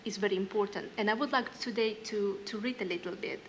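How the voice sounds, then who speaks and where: A young woman musician from northern Kazakhstan, a native Russian speaker, talking on a panel in halting English with many fillers and reading from her diary. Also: mid-range voice, slight background noise. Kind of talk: panel talk with read-aloud diary. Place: hall panel stage.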